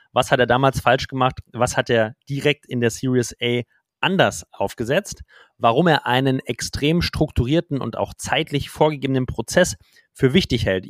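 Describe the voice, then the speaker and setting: An upbeat man hosts a business podcast from a studio. He reads his intro and asks questions in a lively way, mixing English business terms into his speech. The room is dry and close, with no echo. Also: mid-range voice. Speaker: podcast host. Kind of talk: casual interview with a scripted intro.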